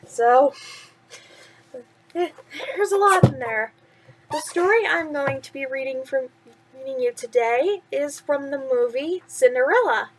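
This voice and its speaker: A woman with a high-pitched voice talks as the host of a children's storytime. She is casual and chatty, and reads with expression, as for a bedtime story. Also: low-quality recording.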